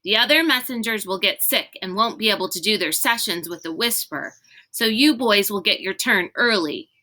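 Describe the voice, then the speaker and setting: A woman's voice: mid-range voice, animated, normal speed, pushed and bright. A woman voicing a blunt, sarcastic young girl in a storybook, putting pointed, exasperated questions to the others. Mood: skeptical.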